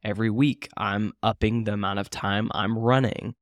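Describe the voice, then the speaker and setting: A man in his late twenties chats casually as a podcast co-host. His voice sits a little low, and he rambles with fillers and restarts, often poking fun at himself. The sound is dry and close. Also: steady tone, moderate pace, no echo. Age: 20s. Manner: rambling, self-deprecating, frequent fillers and restarts. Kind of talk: casual chat.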